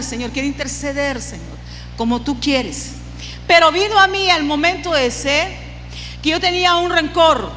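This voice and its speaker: A woman's voice: fairly high pitch, a bit bright. A woman preacher giving a sermon with personal testimony, speaking emphatically and repeating phrases for effect. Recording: long hall reverb, clear background noise.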